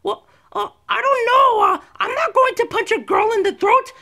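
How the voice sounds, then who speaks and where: A woman's voice: extremely high pitch, moderate pace, creaky, bright, forceful tone, full character voice. A woman voicing a nervous young doctor in a cartoon, hesitant, stammering and easily flustered. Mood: reluctant, nervous.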